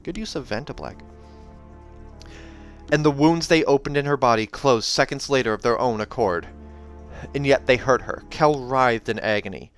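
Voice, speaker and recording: Expressive male book reader over faint music. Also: mid-range voice, moderate pace. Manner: expressive, dramatic. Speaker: host reading a novel aloud. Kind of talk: dramatic audiobook narration with casual asides. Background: soundtrack music.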